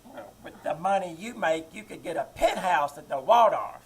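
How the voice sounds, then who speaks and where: Woman as husky sly mother-in-law, deadpan. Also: on the low side, moderate pace. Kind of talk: 1940s radio comedy acting.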